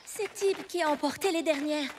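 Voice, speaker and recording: A woman voicing a kindly, doting mother in a cartoon, with a gentle manner. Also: high voice, moderate pace, slight background noise, lightly acted. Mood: fond. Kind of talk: French animated series dubbing.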